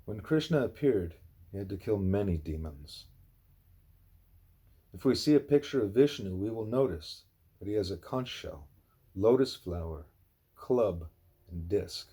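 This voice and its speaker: A man reading a spiritual book aloud in a measured, explanatory way, with a husky voice. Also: on the low side, expressive, warm tone. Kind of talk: read-aloud scripted narration.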